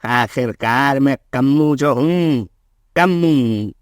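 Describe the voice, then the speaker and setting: A man's voice: mid-range voice, slow, dry recording, studio quality, lightly acted. A man voicing a greedy, boastful, scheming milk seller in a cartoon moral story, with a gloating manner.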